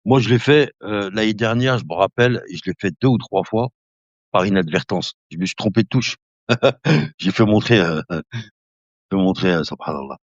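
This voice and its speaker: Deep-voiced man in his fifties, chatting live. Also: moderate pace, no room sound. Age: 50s. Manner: chatty, addresses viewers as brother; laughing often, relaxed rambling. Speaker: live-stream host. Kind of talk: casual live-stream chat. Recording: dull lo-fi dry sound.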